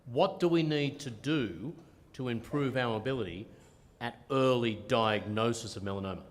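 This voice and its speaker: A man's voice: mid-range voice, normal speed. A man interviewing a guest, asking questions in a jokey, conversational way. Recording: reverberant room.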